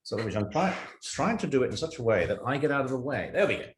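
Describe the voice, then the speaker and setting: A man's voice: mid-range voice, very animated, fast talker. A man recounting events casually as an interview guest, in a hesitant, stop-and-start way. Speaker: podcast guest, writer and producer. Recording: roomy, echoing sound.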